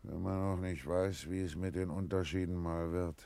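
An actor in a radio play as a sober, resigned village elder, measured and dryly philosophical. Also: male voice, deep voice, steady tone, dark tone, no room sound. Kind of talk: German radio play acting.

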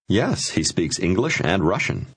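A man with a deep, slightly husky voice narrates a language lesson. His delivery is lively and varied in pitch as he explains phrases and gives prompts. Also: unhurried. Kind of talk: scripted instructional narration.

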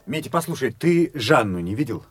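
An actor playing a calm, guarded middle-aged doctor with a gravelly, husky voice who answers tersely, in a studio radio play. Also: male voice, normal speed, over music, natural voice. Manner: terse, guarded, low-key.

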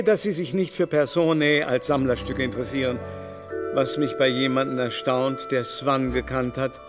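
An actor in a German radio play voicing a vain, haughty older baron with a mannered, theatrical, confiding delivery. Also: male voice, medium pitch, close to an everyday voice. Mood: lofty, chiding. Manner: mannered, theatrical, drawn-out emphasis.

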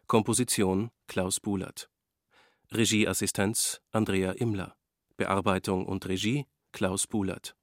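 A man reading radio credits in a neutral, formal tone. His voice sits fairly low. The studio sound is dry and close. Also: no room sound. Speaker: broadcast announcer. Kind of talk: credits announcement.

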